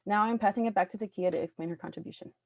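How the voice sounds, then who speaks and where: A woman's voice: medium pitch, no room sound. A young woman from New York, originally born in Peru, reading a prepared project presentation as product manager. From born in Peru, raised in New York. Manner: reads quickly from a prepared script.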